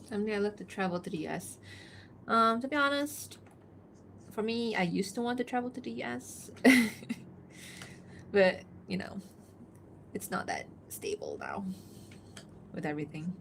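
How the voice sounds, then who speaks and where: A woman's voice: mid-range voice, big pitch swings, normal speed, gravelly, long pauses, a bit bright, slight background noise. A woman from Malaysia chatting casually with her live-stream audience while she works, laughing often and reading out chat messages. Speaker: art streamer.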